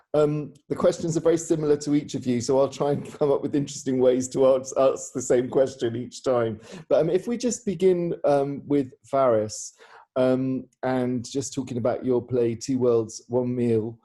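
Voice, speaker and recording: A man's voice: medium pitch, mellow. A man hosting an informal question-and-answer chat over a video call, phrasing his questions hesitantly. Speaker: theatre director hosting a Q&A. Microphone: video call microphone.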